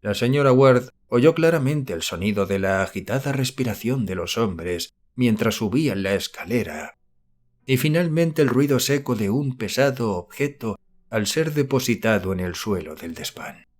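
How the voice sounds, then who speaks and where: A man narrating a horror story as an audiobook, reading in a measured, literary storytelling manner. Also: mid-range voice, expressive, a little mellow. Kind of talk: scripted narration of a horror novel.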